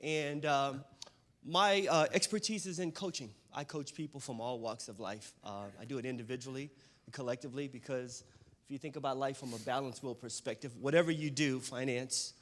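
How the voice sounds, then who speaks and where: A man's voice: mid-range voice, fairly quick. A man from the southern United States introducing himself informally as a guest coach on stage. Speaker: guest speaker, life coach. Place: stage at a live event.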